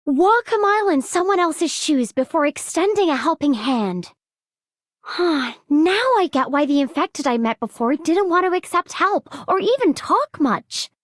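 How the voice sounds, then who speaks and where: An earnest rookie policewoman from a game. Her voice is high and animated, with sincere, upbeat delivery. The sound is close and dry. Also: dry recording. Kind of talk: game character voice lines.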